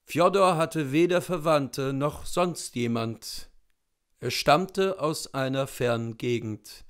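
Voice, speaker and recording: A man in his thirties reading a literary story expressively, with deliberate dramatic pauses. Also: a little high, clean tone. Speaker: literary reader, narrator. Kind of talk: expressive literary audiobook narration. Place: indoors in front of bookshelves.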